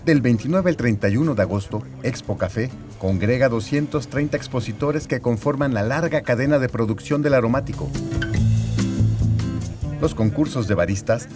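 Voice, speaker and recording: A man's voice: medium pitch, normal speed. Man narrating over guitar music. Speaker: news reporter. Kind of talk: scripted news narration. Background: guitar music bed.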